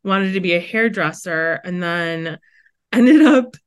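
A woman in a lowish voice. She is bubbly and talkative as a podcast guest, with lots of "like" between her words. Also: animated, takes it slowly, smooth. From Wisconsin, USA. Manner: bubbly, chatty, lots of "like" fillers. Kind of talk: casual interview chat.

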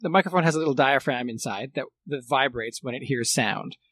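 A man giving a casual technical explanation, halting and often rephrasing as he goes. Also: medium pitch, fairly bright tone, husky.

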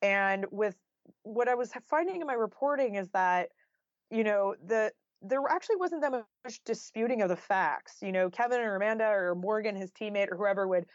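A woman's voice: mid-range voice, animated, moderate pace, dry recording. Woman in dull, dry audio. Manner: conversational, thoughtful, frequent "like" and "you know". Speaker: interview guest, nonfiction author. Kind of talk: casual, reflective interview talk.

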